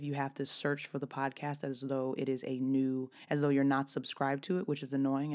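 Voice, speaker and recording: Raspy hoarse woman in her 30s, podcast host chatting solo, pretty monotone, keeps restarting sentences. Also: rumbling low. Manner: chatty, with frequent restarts and fillers. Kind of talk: casual solo podcast talk.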